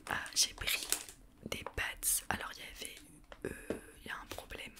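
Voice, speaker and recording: A young woman whispering a casual grocery haul for ASMR, chatty and self-mocking with plenty of hesitations. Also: unhurried. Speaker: ASMR vlogger.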